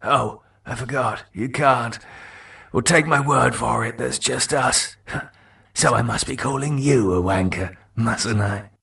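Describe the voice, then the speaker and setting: A man's voice: mid-range voice, slow, vocal fry, bright, forceful tone, far from the mic, full character voice. A man voicing a mocking dead man who goads and sneers. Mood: taunting. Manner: taunting, sneering.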